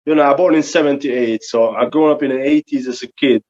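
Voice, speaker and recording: A man's voice: mid-range voice, fairly quick. Hungarian-accented man on a muffled call. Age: 40s. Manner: relaxed, slightly broken English, chuckles. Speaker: guest guitarist. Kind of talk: casual interview.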